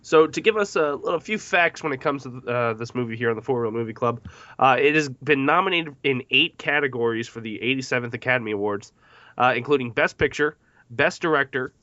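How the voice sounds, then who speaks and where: A man's voice: mid-range voice, fairly quick, pushed and bright, no echo, lo-fi sound. A man hosting a podcast movie discussion, reading out facts from notes between questions to the panel. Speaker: podcast host.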